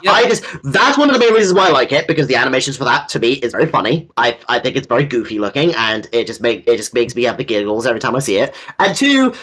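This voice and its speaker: A man co-hosting a fan countdown in casual geeky chat, jokey and giggly, with halting phrasing. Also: mid-range voice, big pitch swings, fairly bright tone, husky. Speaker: co-host of a fan countdown video.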